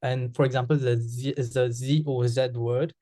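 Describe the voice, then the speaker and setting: A man's voice: mid-range voice, level tone, normal speed, warm tone, no echo, clean studio sound. A man from Mauritius with a Mauritian accent, a Creole speaker answering interview questions, hesitant with frequent fillers and restarts.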